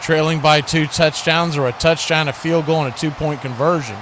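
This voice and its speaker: High-pitched American sports announcer, noisy broadcast. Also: male voice, steady tone, fairly quick. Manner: announcer delivery describing action in clipped phrases. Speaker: play-by-play radio announcer and host. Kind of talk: live American football commentary and station promos.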